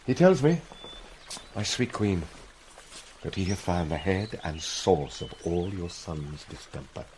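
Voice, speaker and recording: A man's voice: low voice, animated, a little slow, darkish tone, a little hiss or hum. An actor playing a commanding, courtly middle-aged king with stately delivery in a classical Shakespearean drama. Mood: intent.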